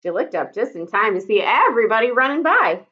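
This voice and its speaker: Woman, expressive storybook narration, fairly high bright voice, dull lo-fi recording. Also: a little fast. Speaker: teacher reading aloud.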